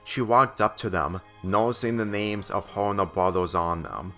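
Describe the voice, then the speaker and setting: A man reading a story aloud as a narrator, with a halting delivery that sometimes stumbles and repeats phrases. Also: sits low, fairly even intonation, a bit bright. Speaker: fan fiction reader. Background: background music.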